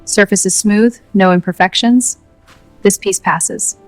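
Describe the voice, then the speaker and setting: A woman's voice: mid-range voice, fairly even intonation, unhurried, dry recording. Dry-sounding woman giving brief work remarks. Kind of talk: short scripted work remarks. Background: background music.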